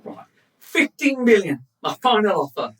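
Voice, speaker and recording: A woman's voice: mid-range voice, sing-song, measured pace, a bit bright. A woman acting in a radio drama as a flustered, bossy football club owner, exasperated and emphatic, recorded at a distance from the mic. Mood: firm, businesslike.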